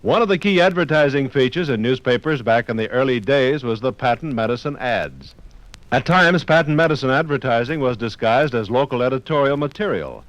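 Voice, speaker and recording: An American man reading scripted narration for a 1950s radio documentary in a measured, formal manner. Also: mid-range voice, lively intonation, no echo, rough recording.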